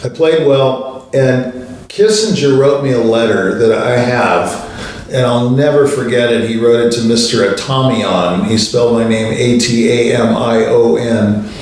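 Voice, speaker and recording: An older man, a pianist hosting a show, telling anecdotes in a relaxed, conversational way with many fillers. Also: mid-range voice, measured pace. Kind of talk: storytelling monologue. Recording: distant mic in a very echoey room.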